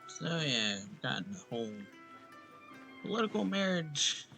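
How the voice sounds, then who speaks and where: A man's voice: a little high, big pitch swings, unhurried, gravelly, soft background music. A man giving casual, laid-back commentary as he plays a story game, musing aloud on it. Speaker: game streamer reacting to story.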